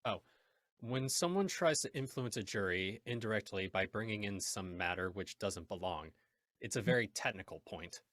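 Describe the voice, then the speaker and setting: Confident radio-play hero acted by a man: low, forceful, bright voice, close dry sound. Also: expressive, normal speed, dry recording. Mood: matter-of-fact. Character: confident, worldly crime-fighting gentleman. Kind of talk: old-time radio drama acting.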